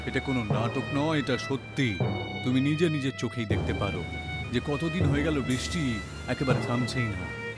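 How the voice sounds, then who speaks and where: A man voicing a responsible, insistent village headman in an animated story, firm and authoritative. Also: mid-range voice, normal speed, lightly acted. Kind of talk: animated moral story dialogue. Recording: loud music behind the voice.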